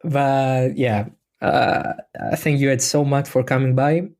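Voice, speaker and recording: A man's voice: medium pitch, steady tone, takes it slowly, a little mellow. A man from Greece with a Greek accent, Greek being his native language. His voice sits in a middle range. He hosts a podcast in casual chat, with a joking, self-deprecating manner. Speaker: podcast host.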